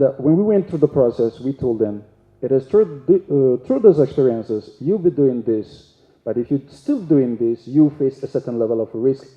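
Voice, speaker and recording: A man speaking as a panelist at a conference, explaining step by step with some halting restarts. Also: medium pitch. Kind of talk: panel discussion talk. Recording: echoey hall, dull sound.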